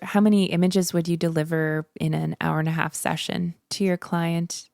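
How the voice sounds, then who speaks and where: Woman interviewer, low and gravelly, supportive, dry close mic. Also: level tone, normal speed, a little mellow, no room sound. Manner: supportive, frequent short backchannels. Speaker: podcast host. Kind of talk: casual interview.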